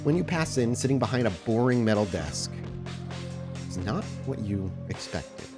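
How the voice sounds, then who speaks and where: A man as game master, giving atmospheric tabletop game narration with dramatic, deliberate phrasing. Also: mid-range voice, lively intonation. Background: music.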